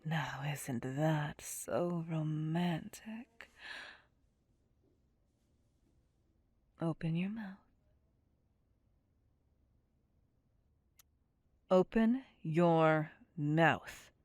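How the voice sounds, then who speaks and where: A woman playing a possessive, cocky vampire captor in an ASMR roleplay, with a breathy, husky, teasing and domineering whisper. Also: low voice, darkish tone, no room sound, exaggerated voice. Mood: seductive, commanding. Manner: slow, teasing, seductive, domineering. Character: possessive, cocky, sadistic female vampire captor, playfully menacing. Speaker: voice actor performing audio roleplay.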